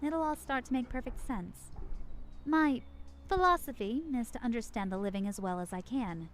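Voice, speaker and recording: A woman's voice: on the high side, animated, moderate pace, close to an everyday voice. An actress voicing a brash, streetwise vampire woman in a video game, with a blunt, playful swagger. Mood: flirty, confident.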